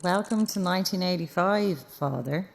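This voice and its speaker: An actress playing a blunt, sardonic Irish news reporter in a naturalistic audio drama. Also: female voice, lowish voice, moderate pace, gravelly, slight background noise. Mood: dry, challenging. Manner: dry, sardonic.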